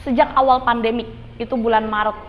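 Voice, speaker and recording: A woman's voice: fairly high pitch, fairly even intonation, fast talker. A woman in her twenties, a church live-streaming volunteer, talks about her role in a casual, chatty way. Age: 20s. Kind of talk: casual testimony interview. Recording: clear background hum.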